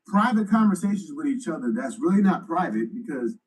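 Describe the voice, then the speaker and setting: Give me a slangy man thinking aloud, a bit high-pitched, heard from a distance. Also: big pitch swings, fairly quick. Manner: slangy, loose, repeats himself. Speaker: YouTuber. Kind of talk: casual commentary.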